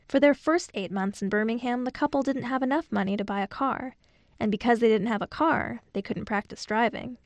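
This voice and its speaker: A woman reading a scripted radio news feature. Her voice is a little high and has a gravelly fry at the ends of phrases. The sound is dry and close, and the delivery is measured and clear. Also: dry recording. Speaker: radio reporter.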